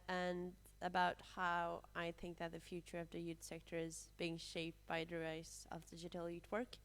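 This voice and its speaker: Flat-toned young Norwegian woman. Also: on the low side. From Norway. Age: young adult. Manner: hesitant, casual fillers like "like". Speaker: youth panelist. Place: conference room.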